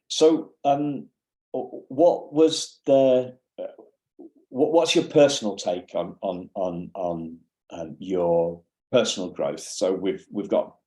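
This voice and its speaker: Slow talking guy interviewer, stumbles and repeats words, mellow low voice. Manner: halting, repeats words while forming questions. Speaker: podcast interviewer. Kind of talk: casual interview conversation.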